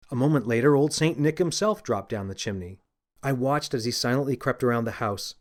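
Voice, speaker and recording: Expressive man narrating a children's story. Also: mid-range voice. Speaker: audiobook narrator and author. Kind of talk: scripted first-person children's story narration.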